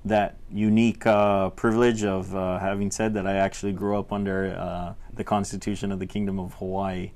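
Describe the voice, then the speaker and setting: A young man from Tonga, a state legislator answering interview questions in a halting way, full of fillers and restarts. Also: deep voice, little pitch movement, darkish tone. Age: young adult. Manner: hesitant, many fillers and restarts. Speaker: legislator guest.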